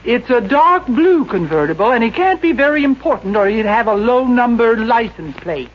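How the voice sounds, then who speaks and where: A woman's voice: a little high, very animated, normal speed. An actress playing a fretful, nosy older landlady in a 1950s radio comedy. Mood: indignant, fussy. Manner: plaintive, fussy. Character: fretful, nosy, stingy boarding-house landlady, older woman. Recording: muffled, noisy old radio recording.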